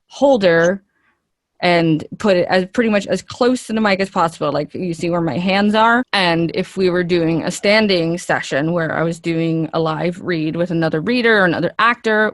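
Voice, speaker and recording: Woman guest, lowish voice, close dry sound. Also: no echo. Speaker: interview guest, actress. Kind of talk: conversational interview answers.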